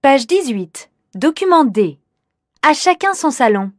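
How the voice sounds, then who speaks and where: High-voiced woman announcing textbook tracks. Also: sing-song, a little slow, dry recording, clean studio sound.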